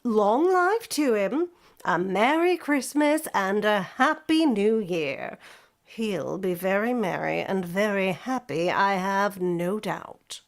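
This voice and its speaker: Woman playing scornful, animated working-class mother. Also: medium pitch, slow. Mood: grudging, sarcastic. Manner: scornful, emphatic. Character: indignant, sharp-tongued working-class mother and wife. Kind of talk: vintage radio drama acting.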